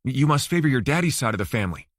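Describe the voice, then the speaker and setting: A man's voice: medium pitch, big pitch swings, rapid-fire, no echo, studio quality. A man voicing a cocky, evasive male lawyer in an audiobook, probing with hesitant starts. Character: Rafael Suarez, cocky evasive Cuban-American suspended lawyer.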